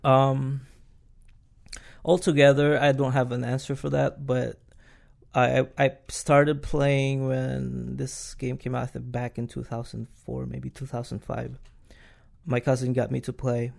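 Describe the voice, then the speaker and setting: Podcast host guy w a gravelly voice, kinda halting, lots of um and uh. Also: medium pitch, level tone, a little mellow. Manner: halting, many fillers and restarts while asking questions. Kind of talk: casual interview chat.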